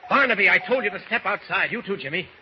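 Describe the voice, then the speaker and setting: Casting: modest but commanding leading man, lighter pitch, dull hissy old radio serial sound. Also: animated, fast. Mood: tense, commanding. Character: calm, commanding, modest newspaperman hero. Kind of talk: 1940s radio acting. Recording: muffled, noisy old radio recording.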